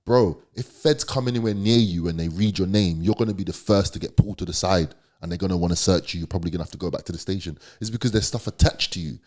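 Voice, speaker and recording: Male podcast guest, London accent, deep low voice, slangy and emphatic storytelling. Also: fast, hardly any pauses, a little mellow. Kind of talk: candid storytelling.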